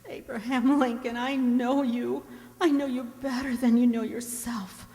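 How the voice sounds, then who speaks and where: A woman playing a dying young tavern girl in a radio drama, tender, devoted and earnest in a slow delivery. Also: fairly high pitch, little pitch movement, warm tone. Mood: earnest, reassuring. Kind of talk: stage-like radio drama acting. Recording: long hall reverb.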